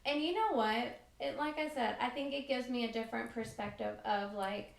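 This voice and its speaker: Higher-voiced woman in a roomy space. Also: normal speed, vocal fry. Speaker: podcast guest, military spouse. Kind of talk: conversational interview, personal reminiscence. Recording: roomy, echoing sound.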